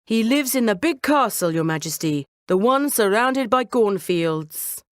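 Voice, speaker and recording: A woman voicing a clever, confident male cat with a smooth-talking, courtly manner in a storybook tale. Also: medium pitch, expressive, measured pace, no room sound, clean studio sound, voice pushed up, natural voice. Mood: courtly, bold.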